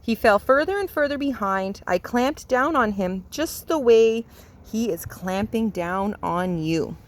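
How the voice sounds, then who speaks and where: A woman's voice: mid-range voice, a little slow, natural voice. A woman voicing a kindly old grandfather bear in a storybook, reassuring and reminiscing.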